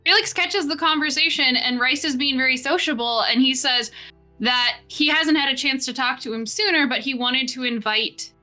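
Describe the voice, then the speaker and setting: A woman narrating as game master in a casual tabletop session, swearing and tossing in quick asides. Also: high voice, bright, forceful tone, faint music behind.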